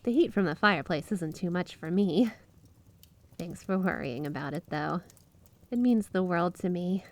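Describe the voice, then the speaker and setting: A woman's voice: fairly low pitch, expressive, moderate pace, darkish tone, lightly acted. A woman playing a flirty, possessive snow spirit wife in a roleplay ASMR monologue, coy and teasing, doting on her listener with feigned innocence. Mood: tender, reassuring.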